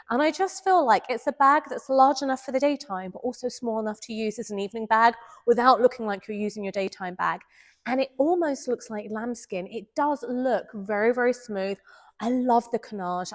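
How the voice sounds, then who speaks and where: Nasal, stuffy-voiced woman reviewing a handbag. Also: fairly high pitch. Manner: chatty and enthusiastic. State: nasal from a head cold. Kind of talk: casual product review vlog.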